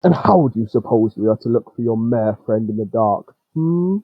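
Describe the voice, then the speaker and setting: Man acting a gruff old ranger stallion, muffled recording. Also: mid-range voice, expressive, normal speed, dry recording, close to an everyday voice. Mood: scornful. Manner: gruff, sardonic. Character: gruff old male pony ranger, grumpy, scornful. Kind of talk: fan fiction audio reading, character voice.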